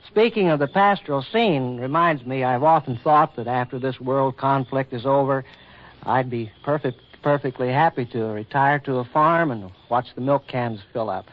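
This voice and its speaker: An actor playing a courtly, earnest government official who woos in stiff, hesitant, formal speech, in 1940s radio drama style. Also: male voice, mid-range voice, normal speed, close to an everyday voice. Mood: courteous. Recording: muffled, noisy 1940s radio recording.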